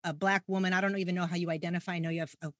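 A woman hosts a podcast interview and is effusive and affirming with her guest. Her voice is fairly low, and she talks in an animated way, often softly. The sound is dry and close to the mic. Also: very fast, no echo. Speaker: podcast host. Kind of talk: enthusiastic interview hosting.

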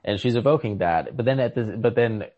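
A man in a casual book discussion, speaking haltingly as he searches for words, on a lo-fi recording. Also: medium pitch, no room sound. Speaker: podcast host. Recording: lo-fi home recording.

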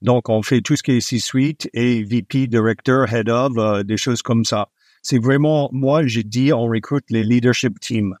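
A middle-aged man with a foreign accent, not a native French speaker, talking as a guest in an interview and often pausing with "euh". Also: medium pitch, steady tone, dry recording, studio quality. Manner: frequent hesitations with "euh", warm laughs. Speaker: guest, executive recruiter. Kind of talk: relaxed interview conversation.

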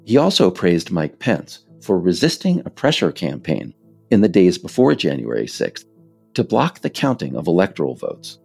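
Low-voiced American man narrating, lively intonation. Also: moderate pace, gravelly, slightly rough, soft background music. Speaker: author narrating own work. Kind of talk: scripted narration.

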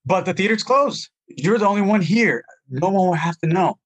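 A man's voice: high-pitched, expressive, normal speed. An actor playing a young male Broadway chorus dancer who is stranded, earnest and pleading. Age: young adult. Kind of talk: audio play acting.

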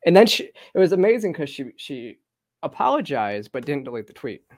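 A man's voice: a little high, sing-song, a little fast, darkish tone, no room sound, clean studio sound. A man hosting a casual political podcast chat, hesitant and full of ums.

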